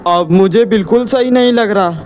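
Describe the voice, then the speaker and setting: A man's voice: high voice, moderate pace, darkish tone, voice pushed up, close to an everyday voice. A man voicing a timid, anxious village boy in a horror cartoon story, fearful and pleading. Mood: frightened.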